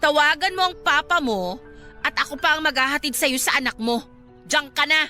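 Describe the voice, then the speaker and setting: A woman's voice: sits high, normal speed, bright, forceful tone. A woman playing a smug, cold-hearted young wife and scornful rival in a Filipino radio drama, dismissive and taunting, with a Filipino accent. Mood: dismissive, impatient. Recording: dramatic music bed behind the voice.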